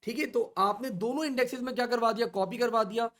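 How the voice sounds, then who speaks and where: A man teaching an online coding class, asking the class questions and answering them himself as he steps slowly through each value. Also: very high voice, fairly bright tone. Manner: slow and repetitive, stepping through values. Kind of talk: online coding lesson in mixed Urdu and English.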